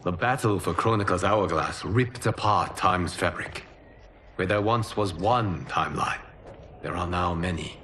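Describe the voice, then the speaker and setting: An actor playing a calm, wise fire god and keeper of time in a video game, speaking in a measured, reassuring, formal way. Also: male voice, on the low side, slight background noise. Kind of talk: solemn video game cutscene acting.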